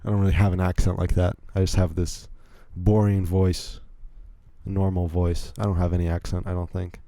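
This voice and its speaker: A young American man with a low, deeply mellow voice, chatting his way through a solo podcast story. He rambles and cuts himself off with asides. The sound is dry and close, with no room around it. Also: level tone, normal speed, no echo. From Virginia, United States. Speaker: podcast host.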